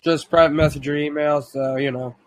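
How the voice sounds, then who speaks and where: A man's voice: fairly high pitch, fairly even intonation, brisk. A man chatting casually as a participant in an online group call. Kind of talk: casual chat.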